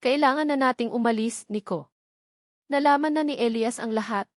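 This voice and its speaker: A woman's voice: on the high side, normal speed, no echo, clean studio sound, pitched above the performer's own voice, natural voice. A woman voicing a frightened, scheming woman on the run in a dramatic story. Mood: fearful. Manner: fearful, nervous.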